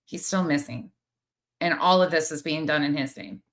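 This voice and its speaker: A woman's voice: sits low, normal speed, a bit bright. A woman host giving exasperated, critical live commentary, with some swearing. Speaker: host of a true crime commentary show. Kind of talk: live commentary on played clips.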